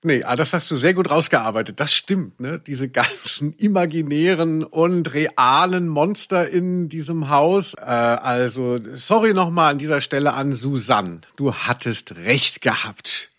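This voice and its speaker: A man with a slightly high voice hosts a conversational podcast. He comments casually and with a wry, mock-serious tone. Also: unhurried, few pauses, fairly bright tone. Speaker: podcast co-host.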